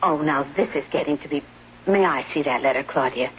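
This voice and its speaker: A slightly noisy, dull old radio broadcast carries a woman playing a nurse companion in a 1970s drama. Her voice is on the low side, brisk, protective and firmly reassuring, with no patience for foolish fears. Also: natural voice. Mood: skeptical. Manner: no-nonsense, dismissive of fears. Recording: dull vintage radio broadcast, faint noise.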